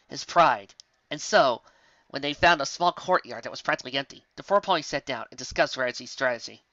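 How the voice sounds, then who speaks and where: A woman's voice: deep voice, fairly bright tone, dry recording. A woman narrating a scripted story expressively as a storyteller. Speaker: storyteller, reader. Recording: slightly dull, dry home recording.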